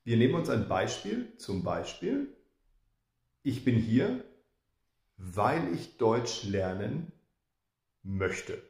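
Teacher, male, 50s; gravelly voice, unhurried, talking straight to camera. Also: mid-range voice, very animated, darkish tone, roomy sound. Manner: slow, deliberate explaining with repeated example sentences. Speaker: German teacher. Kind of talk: grammar lesson to camera. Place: indoor room with plants behind. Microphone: camera in front, head and shoulders.

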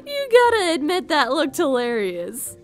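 A woman voicing a tough, teasing older high school girl, blunt and sassy, in an animated roleplay. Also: a little slow, faint music behind, full character voice. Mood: mocking.

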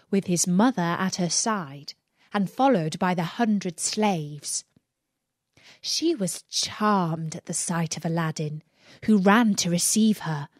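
Female audiobook narrator for fairy tales: slow, careful phrasing, dry close sound. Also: fairly low pitch, no echo. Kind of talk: scripted fairy-tale narration.

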